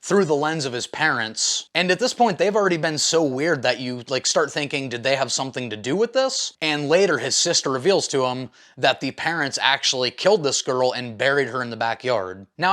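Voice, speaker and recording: A man's voice: fairly high pitch, fairly bright tone. A man hosting a casual film review, talking in an informal, enthusiastic, slangy and opinionated way. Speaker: movie reviewer, video host.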